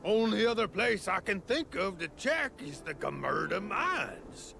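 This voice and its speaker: A folksy game villager with a high, gravelly voice and a drawl. He tells things like a small-town storyteller, easygoing and peaceable, with a very animated rise and fall, over clear background music. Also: unhurried, heavily acted. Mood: helpful, easygoing. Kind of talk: video game cutscene acting.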